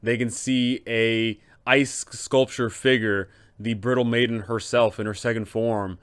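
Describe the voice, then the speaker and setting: Smooth-voiced man explaining tabletop game tactics. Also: medium pitch, fairly even intonation. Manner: emphatic, rhetorical questions, deliberate phrasing. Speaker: host, game master advisor. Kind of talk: scripted explainer on running a tabletop campaign.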